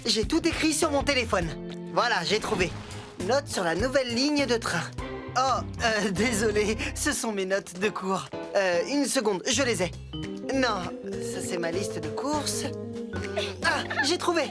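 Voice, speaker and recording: An eager young cartoon apprentice builder lad, scatterbrained and easily flustered. The voice is fairly high and hesitant. Music plays behind it. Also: female voice, expressive, unhurried. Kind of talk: dubbed children's cartoon acting.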